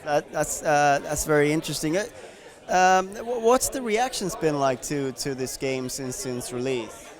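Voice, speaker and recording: A man's voice: a little high, expressive, a little slow, some chatter behind. A man interviewing a game developer outdoors in a city, asking loose questions with repeated words.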